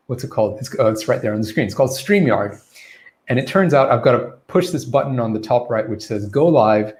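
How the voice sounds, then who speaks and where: Male English coach, middle pitch, casual live-stream delivery, chatty, self-correcting. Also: darkish tone. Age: adult, former teacher.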